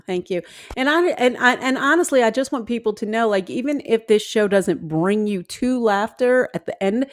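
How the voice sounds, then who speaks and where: A woman with a New Orleans accent hosts a casual talk show over a video call. Her voice is hoarse and scratchy from being sick, and she draws her words out in a warm, encouraging way. The sound is dry and close. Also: medium pitch, no echo. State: hoarse from illness. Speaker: host.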